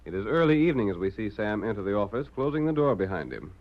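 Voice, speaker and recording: A man's voice: lowish voice, sing-song, quick pace, background noise. A man narrating a western drama as a radio announcer, in dramatic scripted 1940s style. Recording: dull vintage radio recording.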